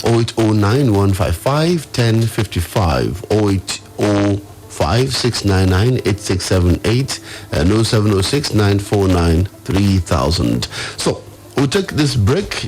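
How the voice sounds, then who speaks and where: A Nigerian man hosts a radio talk show in a low voice. He repeats phrases for emphasis as he guides the program. Faint music plays underneath. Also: sing-song, a little slow, darkish tone. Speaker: radio host.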